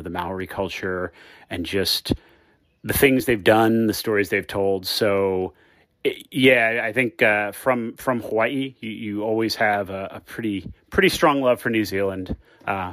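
American guy leaving a rambly voicemail, lots of uhs, lowish voice, super dry sound. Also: fairly bright tone, no echo. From United States. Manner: rambling, hesitant, many fillers and restarts. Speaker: caller. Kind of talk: voicemail call-in message.

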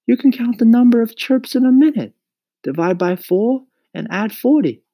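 An earnest young boy from a storybook, acted out by a man, eager and pleading. The voice is lifted very high with lively swings in pitch. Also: moderate pace, dry recording, heavily acted. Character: eager earnest young boy who loves his pet.

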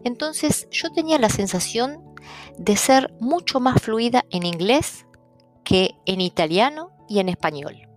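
A middle-aged woman from Argentina with an Argentine accent, calmly explaining things in a personal talk. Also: fairly high pitch, measured pace, soft background music. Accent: Argentine Spanish. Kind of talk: personal talk on stuttering and languages.

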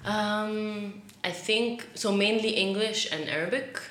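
A woman's voice: medium pitch, takes it slowly, reverberant. A young woman from Egypt answering interview questions casually, hesitant, with fillers and restarts. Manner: hesitant, many fillers and restarts, laughs softly. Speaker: guest, graduate student.

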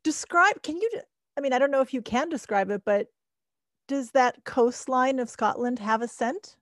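A woman's voice: sits high, normal speed, dry recording, studio quality. A woman hosting an interview show, affirming her guest and asking about her life. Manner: warm and affirming, laughs often. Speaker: podcast host.

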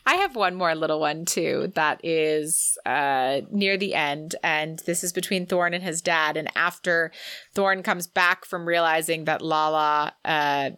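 A woman's voice: sits low, unhurried, sharp tone, clear, resonant tone, no room sound. A woman co-hosting a book podcast, chatting casually and with effusive enthusiasm. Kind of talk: casual book discussion chat.

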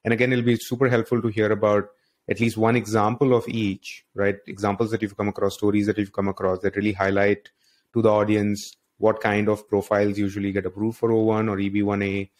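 A man's voice: on the low side, flat delivery, a little fast, darkish tone. A man hosting a podcast interview in a conversational style.

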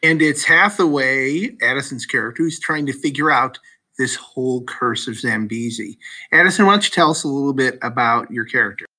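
A man hosting an interview podcast, speaking in a measured, encouraging way to his guests. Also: medium pitch, pushed and bright. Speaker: podcast host. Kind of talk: podcast interview with school play actors.

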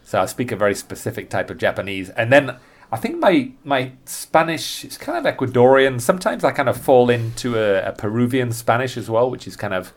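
A man's voice: medium pitch, animated, fairly quick. A man chatting casually as a birding guide on a podcast, thinking aloud and often rephrasing himself as he goes.

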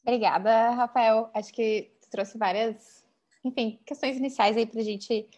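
A woman with a Brazilian accent in an informal academic discussion, speaking as a host who thinks aloud in hedged, halting phrases. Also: a little high, fairly even intonation, darkish tone.

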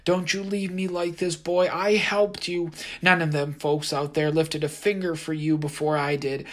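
Raspy haint villain put on by a man, drawling, folksy, higher pitched. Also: big pitch swings, normal speed, fairly bright tone, heavily acted. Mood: pleading angry. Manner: drawling, folksy Southern-style talk. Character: sinister male cotton haint, wheedling and menacing.

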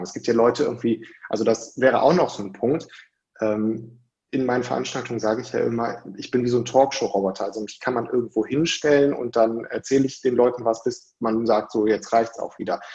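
A man talks at length in a relaxed advisory chat, dropping fillers as he goes. His voice has a gravelly fry. The sound is dull and lo-fi. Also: medium pitch. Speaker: academic advising a prospective doctoral student. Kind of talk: casual advisory conversation.

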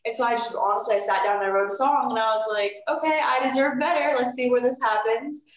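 A woman's voice: a little high, fast talker, echoey room. A teenage girl from Florida, a singer being interviewed, talking in a chatty, upbeat way with lots of "like" and "you know". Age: teens. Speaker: guest singer. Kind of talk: casual interview chat.

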